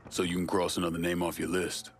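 An actor playing a hard young war veteran leading a gang, terse and assured, in a video game. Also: male voice, sits low, completely flat delivery, quick pace. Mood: grim, commanding. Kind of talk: dramatic video game acting. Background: music.

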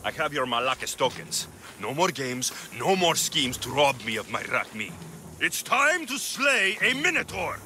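Wry Greek mercenary, swinging pitch. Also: male voice, big pitch swings, normal speed, sharp tone, heavily acted. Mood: exasperated. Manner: dry, sarcastic. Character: wry, weary young male mercenary hero of ancient Greece. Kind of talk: video game cutscene acting. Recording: music behind the voice.

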